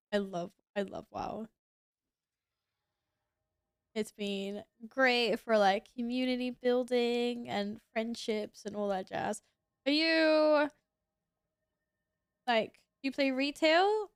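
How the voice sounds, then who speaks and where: Sniffly young woman streamer, casual chatter, smooth voice, dry close mic. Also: a little high, dry recording. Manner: chatty, reacts to gameplay, reads chat aloud. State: sniffly, sneezing. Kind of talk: casual gaming stream chat.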